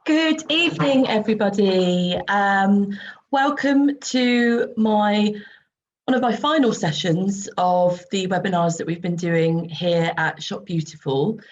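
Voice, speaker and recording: A woman in her forties with a croaky voice hosting an online video-call webinar, chatty and full of ums. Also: mid-range voice, takes it slowly, highs cut off. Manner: chatty, many ums, light laughs. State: croaky voice after throat infection. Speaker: webinar host and skincare expert. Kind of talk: casual online presentation.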